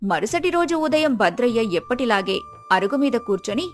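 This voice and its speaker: A woman's voice: on the high side, very animated, normal speed, soft background music. A woman reading a scripted moral story as its narrator.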